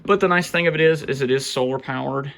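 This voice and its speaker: Guy casually showing his collection, kinda mumbly and halting, lots of vocal fry, bit of background noise and clicks. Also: mid-range voice, a bit bright. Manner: halting, muttering to himself while handling gear. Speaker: hobbyist collector presenting weather radios. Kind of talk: casual off-the-cuff show-and-tell. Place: indoors, small cluttered room at home. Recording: faint background noise, clicks and handling sounds.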